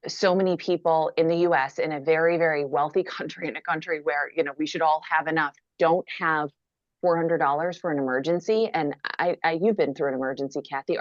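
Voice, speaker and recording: Low-voiced wry woman on a video call. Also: dry recording, dull audio. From Minnesota. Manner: candid, wry, self-deprecating humor. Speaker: guest author. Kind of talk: conversational interview answer.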